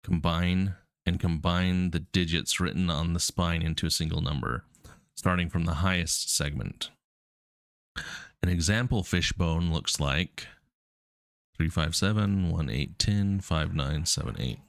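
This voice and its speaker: A man's voice: a bass voice, no echo, studio quality. A man with a cold and a cough drop in his mouth, casually reading puzzle text and thinking aloud. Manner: casual, relaxed, many ums. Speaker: coding streamer.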